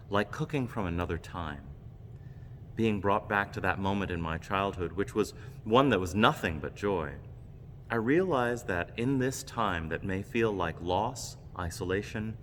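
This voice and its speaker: Male preacher, very low voice, measured and reflective homily delivery, faint background noise. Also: fairly bright tone. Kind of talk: reflective sermon with personal stories. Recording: long reverb, background noise.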